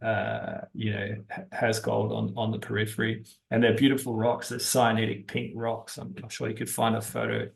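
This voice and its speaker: A man giving unscripted explanations as an interview guest, talking haltingly as he thinks aloud. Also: fairly low pitch, monotone, creaky. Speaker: interview guest, geology-minded stock investor.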